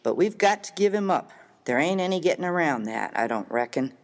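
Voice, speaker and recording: A man with an American accent playing a rough, slangy, grieving miner of the Old West in a dramatized comic story, with a folksy, emphatic delivery. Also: on the high side, very animated, a little fast. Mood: mournful, admiring. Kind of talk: dramatized reading of a humorous story. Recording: reverberant hall-like room.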